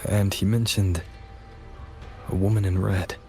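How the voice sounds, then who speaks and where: A man's voice: sits low, little pitch movement, unhurried, darkish tone. A man playing a serious young wanderer hero in a video game, speaking in a terse, restrained way. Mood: grave. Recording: music behind the voice.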